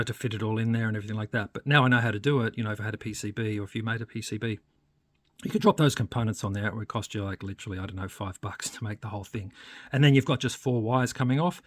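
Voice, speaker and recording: A man with a mid-range voice talks through a hands-on demonstration as an electronics hobbyist. His delivery is informal and explanatory, often halting and pausing as he goes. The sound is dry, with very little room to it. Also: mid-range voice, no echo.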